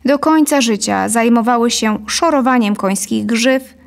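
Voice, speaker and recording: A woman in her thirties narrating a scripted story deliberately and expressively into a close studio microphone. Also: a little high, vocal fry. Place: dim studio set, indoors. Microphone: studio condenser on a boom, close.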